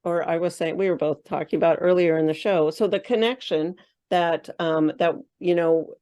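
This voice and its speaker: A woman's voice: lowish voice, steady tone, moderate pace, warm tone, clean tone. A woman who is a spiritual coach, chatting as a guest in an interview and thinking aloud with frequent fillers and restarts. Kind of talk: casual interview chat.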